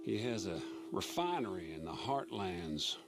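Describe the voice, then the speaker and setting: Low, measured Old West schemer. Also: male voice, big pitch swings, creaky, over loud music, full character voice. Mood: coolly menacing. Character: shrewd male Old West operator, coolly menacing schemer. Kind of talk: video game cutscene acting.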